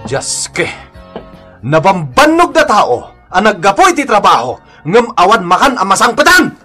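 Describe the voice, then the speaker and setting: Irascible jealous radio drama husband, Ilocano accent, high-pitched, wildly swooping, blustering delivery. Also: male voice, sing-song, moderate pace, sharp tone, soft background music, full character voice. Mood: angry, jealous. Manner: blustering, scolding.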